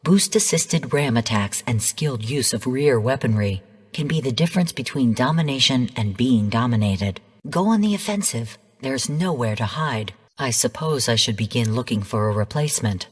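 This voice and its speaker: Give the game a stern female artificial intelligence, heard through long hall reverb. Her voice is low and a little husky. She briefs formally and analytically, in measured, composed phrases. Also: lively intonation, full character voice. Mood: cautionary. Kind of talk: scripted game narration.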